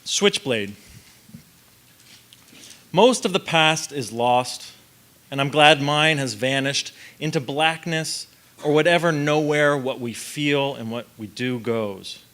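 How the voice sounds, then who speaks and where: A man's voice: mid-range voice, slow, fairly bright tone. A man giving a poetry reading as a guest on a theatre stage, speaking into a stand microphone. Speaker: guest reader at a literary event. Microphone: mic on a stand.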